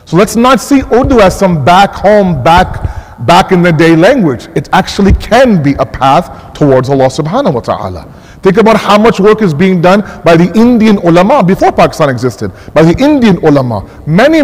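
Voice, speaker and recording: A man's voice: high-pitched, a little mellow. A man with an American accent delivering an impassioned sermon, often repeating and restarting phrases as he builds a point. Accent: American English. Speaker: mosque speaker. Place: mosque hall. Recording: long hall reverb.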